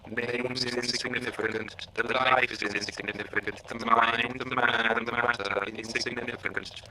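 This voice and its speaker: A man's voice: mid-range voice, level tone, unhurried, pushed and bright. A man playing a ruthless, hungry hollow creature in an audio drama, taunting with cryptic, repetitive menace. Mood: cold, demanding. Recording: echoing voice with faint background noise.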